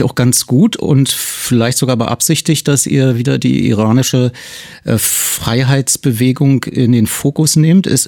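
A man's voice: mid-range voice, a little mellow. A man hosting a casual studio radio interview, chatting informally and rambling into asides. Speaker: radio host.

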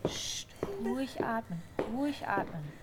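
An actress playing a steady, comforting young mother held as a political prisoner, speaking with soothing restraint in a radio drama. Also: on the high side, unhurried, background noise. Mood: soothing, hushed. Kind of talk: naturalistic radio drama acting.

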